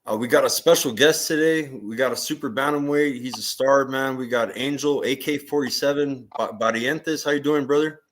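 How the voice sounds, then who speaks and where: A man's voice: medium pitch, moderate pace, hardly any pauses, a bit bright. A man hosting a boxing interview with casual, slangy, informal talk. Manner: slangy, informal fan-like address. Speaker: boxing show host.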